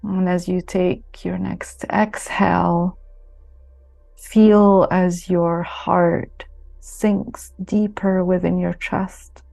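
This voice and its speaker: A woman guides a meditation with a soothing, very slow delivery and long pauses. Her low-set voice stays soft-toned and mellow, with little melodic movement, over gentle music. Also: level tone, gravelly, no room sound. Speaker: yoga nidra guide.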